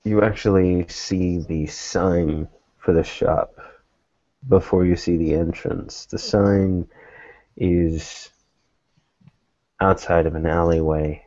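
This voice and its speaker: A man acting as game master, narrating a tabletop roleplaying scene in halting short phrases. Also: low voice, fairly even intonation, dark tone, muffled recording.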